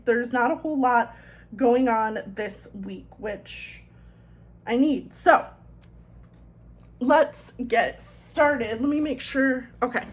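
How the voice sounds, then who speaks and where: Woman, planner vlogger, high voice, thick swollen-throat sound, chatty self-deprecating asides. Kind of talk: casual chat while decorating a planner.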